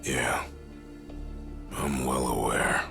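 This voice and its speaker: An actor playing a grim veteran gangster in a video game cutscene, speaking in a hushed, gravelly way. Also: male voice, extremely low pitch, fairly even intonation, very slow, exaggerated voice. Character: grim veteran gangster warning a young man. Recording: dialogue over game music.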